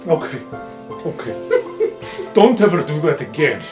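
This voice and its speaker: A tabletop player voicing a grumpy, excitable, blunt and dramatic student. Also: mid-range voice, measured pace, echoey room. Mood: spooked. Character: grumpy, excitable, easily spooked student called Rampart. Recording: music behind.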